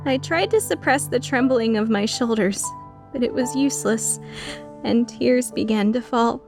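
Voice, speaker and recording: A woman playing an earnest, timid, tender-hearted young woman in a dubbed visual novel, speaking in a measured way. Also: on the high side, darkish tone, faint music behind, natural voice. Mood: tearful. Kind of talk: dubbed visual novel acting with inner narration.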